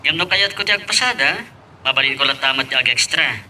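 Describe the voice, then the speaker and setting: Man acting smitten young working man, Ilocano accent, jaunty, highish sharp voice, radio drama, faint noise. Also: lively intonation, quick pace. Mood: eager, courting.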